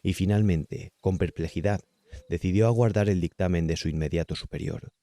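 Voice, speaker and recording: A man with a Castilian Spanish accent narrating a horror audiobook in a measured, carefully enunciated way. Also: deep voice, warm tone, dry recording, studio quality. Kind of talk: scripted horror story narration.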